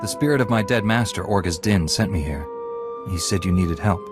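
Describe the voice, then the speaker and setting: An actor playing an earnest young Jedi knight with a steady delivery. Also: male voice, sits low, brisk, lightly acted. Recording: game audio with music under it.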